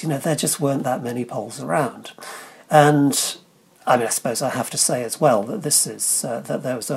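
Gravelly man in his sixties. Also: medium pitch, darkish tone. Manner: halting, repeats words, many fillers. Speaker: guest, science writer. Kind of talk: interview answers.

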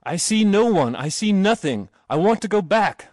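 A cowardly, pompous gentleman from a storybook , put on by a male reader. He whines and pleads in a raised, widely swooping pitch, recorded dry. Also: sing-song, moderate pace, no echo. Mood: terrified. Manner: gasping, whining, pleading. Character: frightened, whimpering, pompous grown gentleman.

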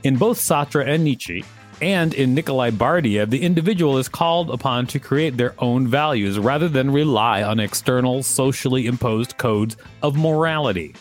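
A man's voice: medium pitch, normal speed, soft background music. A man co-hosting a podcast, reading an encyclopedia article aloud with playful asides. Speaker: podcast co-host.

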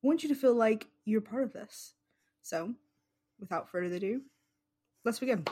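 A woman co-hosting a podcast, reading its prepared intro in a measured way. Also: a little high, noisy background. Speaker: podcast co-host.

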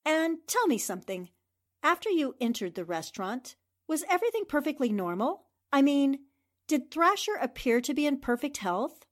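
Confident, bright-pitched woman reporter sleuth. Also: sing-song, normal speed. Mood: probing. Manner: incisive, questioning, assured. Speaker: newspaper reporter. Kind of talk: 1940s radio drama acting.

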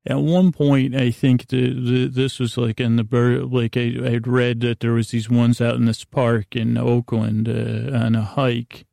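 Man, sleep podcast host, heavy vocal fry, gentle reassurance, halting digressive monologue, very dry room sound. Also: mid-range voice, little pitch movement, no echo. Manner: halting, digressive, full of trailing asides and repeats. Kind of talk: rambling, meandering bedtime monologue.

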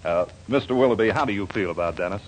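A man's voice: lowish voice, fast talker, slight background noise, rough recording. A man announcing and narrating a 1940s radio show in a projected, theatrical manner. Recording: 1940s radio broadcast with music.

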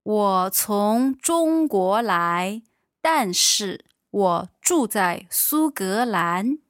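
A woman from China, a native Mandarin speaker, gives model sentences very slowly and deliberately. Her pitch sits in a middle range. The voice sounds close and dry. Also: lively intonation, no room sound. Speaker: language teacher. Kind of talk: podcast language lesson.

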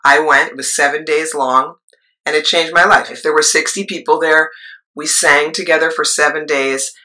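A middle-aged woman with a low voice, a choir leader and actress, telling her story in a rambling interview style with asides. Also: fairly even intonation, normal speed, sharp tone, poor audio. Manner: rambling, with asides and trailing thoughts.

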